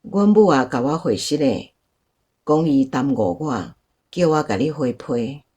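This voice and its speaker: An actress with a Taiwanese accent playing a calm, resigned older woman in a radio drama. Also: rumbling low, unhurried, husky, heavily acted. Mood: calm, resolute. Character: calm, resigned older woman, a former nun and lost sweetheart.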